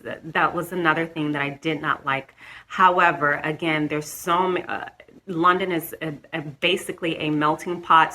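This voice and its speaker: Bright-voiced American woman vlogging. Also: sits low. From United States. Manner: chatty, restarts and ums, light laughs. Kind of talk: casual talk to camera.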